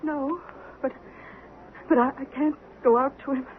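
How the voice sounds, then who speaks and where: An actress playing a bitter, long-suffering middle-aged wife, reproachful and haunted, in old-time radio drama style. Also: female voice, high-pitched, steady tone, unhurried. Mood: sad, hesitant. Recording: muffled, hissy vintage radio recording.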